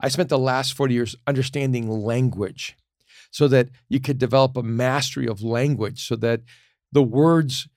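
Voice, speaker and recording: A man's voice: mid-range voice, fairly even intonation, moderate pace, a little mellow, no room sound. Dry, close-sounding middle-aged man. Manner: reflective, storytelling, earnest. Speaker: pastor and communication teacher. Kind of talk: casual podcast conversation.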